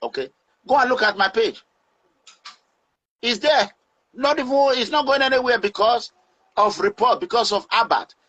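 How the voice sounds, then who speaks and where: A Nigerian man speaking in a forceful, preaching way as he gives advice to his online audience. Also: very high voice, animated, moderate pace, pauses often, lo-fi sound.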